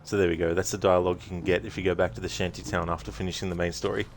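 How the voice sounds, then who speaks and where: A man's voice: low-pitched, level tone, racing, a little hiss or hum. A man chatting casually to viewers as a game streamer on a livestream.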